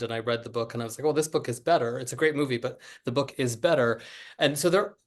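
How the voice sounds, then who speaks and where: A man with an ordinary mid-range voice joins a book discussion over a video call. He talks earnestly and fluently, peppering his points with "you know", casual and unhurried. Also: medium pitch. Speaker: writer guest.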